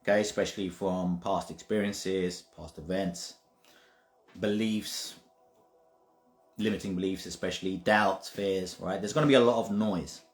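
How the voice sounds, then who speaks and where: A man's voice: sits low, steady tone, a little slow. A man giving a casual spiritual talk, emphatic and repetitive, sometimes swearing. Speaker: spiritual teacher. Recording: roomy echo with faint music.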